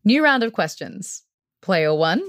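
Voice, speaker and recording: A woman's voice: medium pitch, very animated, unhurried, dry recording. A woman presenting theatrically as a game show host.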